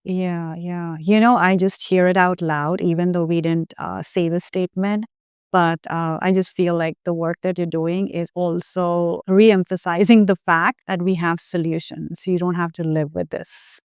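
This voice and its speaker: Smooth-voiced woman host, affirming. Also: lowish voice, little pitch movement, dry recording, studio quality. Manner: warmly affirming, halting with fillers. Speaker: podcast host. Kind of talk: casual interview chat.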